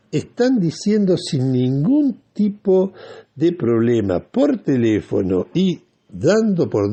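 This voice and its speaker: An Argentine man hosting a radio talk show, giving opinionated news commentary in a deliberate, emphatic way with pauses between phrases and a husky voice. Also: fairly high pitch, mellow. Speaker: radio host.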